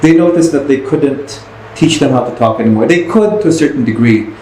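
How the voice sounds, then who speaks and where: A man's voice: medium pitch, lively intonation, fairly quick, dark tone. A man telling anecdotes as a podcast host in casual, rambling talk, often restarting his phrases. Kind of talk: casual interview chat with anecdotes. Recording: echoey room with faint noise.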